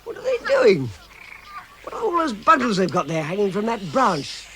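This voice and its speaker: A man's voice: high voice, huge pitch swings, moderate pace, a crowd in the background. A man playing a timid but plucky hobbit adventurer in a vintage British radio drama, stammering and exclaiming to himself. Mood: alarmed. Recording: vintage radio recording with loud background noise.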